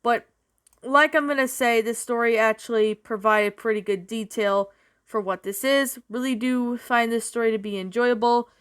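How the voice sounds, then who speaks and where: A woman's voice: fairly high pitch, moderate pace, smooth. A woman giving a casual, unscripted review in a rambling, repetitive way, as a story narrator talking to followers.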